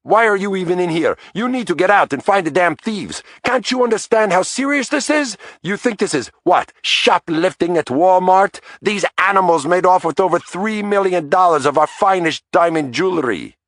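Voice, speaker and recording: A man's voice: sits high, expressive, normal speed, few pauses, fairly bright tone, dry recording, voice pushed up. Man putting on a shrieky petite young wife, barking at the cops, dry sound. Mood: scolding. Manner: barking, scolding. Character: petite young woman, store owner's wife, rabid.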